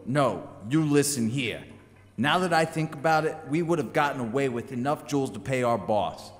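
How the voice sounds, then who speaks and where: A man playing a bossy, short-tempered crook and schemer in an audio drama, with a gruff, impatient manner. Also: medium pitch, moderate pace, lightly acted. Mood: angry, bossy. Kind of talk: audio theater acting. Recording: echoey reverberant sound.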